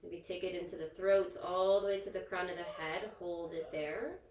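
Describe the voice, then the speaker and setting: A woman yoga instructor leading a guided relaxation in a soothing, gentle, informal manner, leaving pauses between instructions. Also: fairly low pitch, a little hiss or hum. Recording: echoey, roomy sound.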